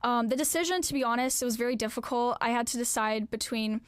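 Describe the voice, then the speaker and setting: Earnest teenage girl, smooth and close. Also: a little high, fast talker, no echo. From Alaska, US. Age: late teens. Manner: earnest, many "um" fillers, reflective. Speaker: interviewee, graduating student. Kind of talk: local news interview.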